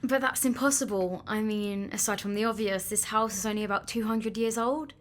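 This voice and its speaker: A woman's voice: medium pitch, fairly even intonation, fairly quick, close to an everyday voice. A woman playing a practical, sceptical young woman in an audio drama, with a blunt, urgent manner. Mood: subdued, doubtful.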